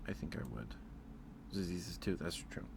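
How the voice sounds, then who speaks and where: A man's voice: low-pitched, animated, moderate pace, gravelly, noisy background. A man chatting casually on an ASMR livestream, rambling hesitantly and repeating his phrases, close to the microphone.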